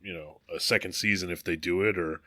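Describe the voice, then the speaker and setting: Deep bright-toned podcast co-host. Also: male voice, steady tone, a little fast, no echo. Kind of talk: casual chat.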